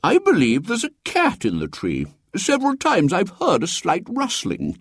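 Stammering pompous old bishop, high and fussy, as the man reading puts him on, husky, dry. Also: wildly swooping pitch, moderate pace, no room sound, full character voice. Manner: pompous, condescending.

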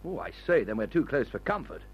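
An actor playing a fussy, anxious young airman, worried and excitable, in an old radio adventure serial. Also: medium pitch, fast talker, breathy edge, highs cut off, soft background music. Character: anxious, fussy, well-bred young airman, easily alarmed.

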